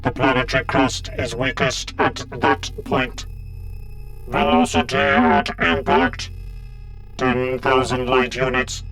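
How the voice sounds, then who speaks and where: Cold male cyborg leader, monotone, slow, clipped, commanding, menacing, audiobook villain. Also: mid-range voice, vocal fry, soft background music, low-quality recording. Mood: cold, methodical. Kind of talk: dramatised audiobook reading.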